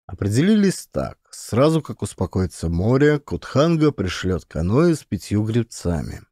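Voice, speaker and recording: A man's voice: lowish voice, very animated, moderate pace, warm tone, no room sound, studio quality. A man giving a dramatic scripted narration of an audiobook as a storyteller.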